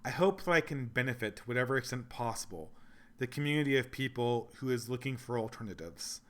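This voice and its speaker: A man's voice: mid-range voice, lively intonation, fairly quick, fairly bright tone. A man hosting a casual livestream Q&A, losing his voice, rambling earnestly and thinking aloud as he answers viewers. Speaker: livestream host reading donations.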